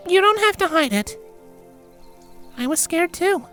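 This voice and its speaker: A man voicing a young female alicorn pony in a story reading, gentle, hesitant and a little embarrassed. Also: very high-pitched, expressive, measured pace, faint music behind, voice pushed up, heavily acted. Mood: gentle, coaxing.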